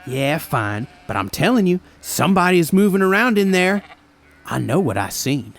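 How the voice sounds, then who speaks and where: A man's voice: fairly high pitch, very animated, normal speed, gravelly, voice pushed up. A man voicing a cocky, combative young farmhand with defiant rustic talk in an audio story. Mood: insistent, uneasy. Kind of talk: audio story character dialogue.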